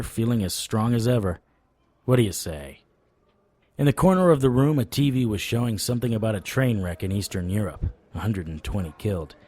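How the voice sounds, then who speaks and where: Wry, low-voiced hard-boiled detective. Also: male voice, no echo. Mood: persuasive, joking. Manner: wry, deadpan, sardonic. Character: wry, tough male supernatural private investigator, hard-boiled narrator. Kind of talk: noir audio drama narration and dialogue.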